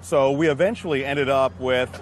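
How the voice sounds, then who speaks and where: A man's voice: mid-range voice, steady tone, moderate pace, slight background noise. An American man, a brewmaster, talking casually and laughing easily in an outdoor chat. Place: outdoors in a city street.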